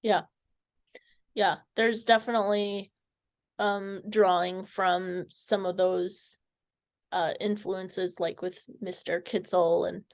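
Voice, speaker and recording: A woman interviewing a guest in a casual, conversational way, tentative and halting as she forms her thoughts. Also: mid-range voice.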